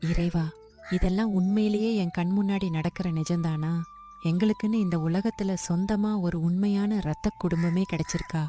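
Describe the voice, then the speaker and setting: Mellow devoted village wife, acted by a woman. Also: lowish voice, fairly even intonation, moderate pace, soft background music, lightly acted. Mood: amazed. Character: devoted, respectful village wife, proud and devout. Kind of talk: dramatised moral story.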